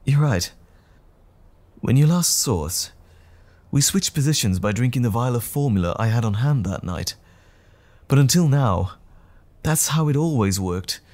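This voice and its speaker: A man playing a troubled, apologetic gentleman scientist in an audio roleplay drama, speaking in a formal, halting, anxious way. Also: mid-range voice, expressive, close to an everyday voice. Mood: anxious, strained.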